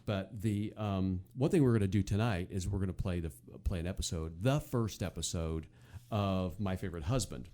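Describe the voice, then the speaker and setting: An American man hosting a casual chat show, talking in a halting, conversational way and often repeating words. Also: deep voice, dark tone. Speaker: podcast host. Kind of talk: casual chat about old-time radio.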